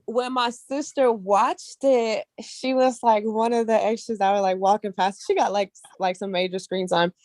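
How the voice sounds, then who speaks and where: A woman with a mid-pitched voice shares personal stories as a guest in a casual interview. She is earnest and animated, with colloquial phrasing and frequent check-ins with the listener. The sound is close and dry. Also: normal speed, hardly any pauses, no echo. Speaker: guest, actress and casting director.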